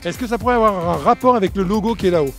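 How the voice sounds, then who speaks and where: A man's voice: sits high, racing, music in the background. A man casually questioning passers-by on the street as a presenter, with a playful, teasing manner. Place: outdoors in a town street.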